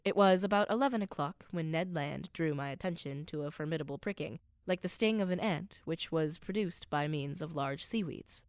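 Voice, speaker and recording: A woman performing as the reflective narrator of a radio play, with a formal, measured delivery. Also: low-pitched, clean tone, no room sound, clean studio sound. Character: reflective first-person narrator of a sea voyage. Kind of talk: dramatic scripted narration.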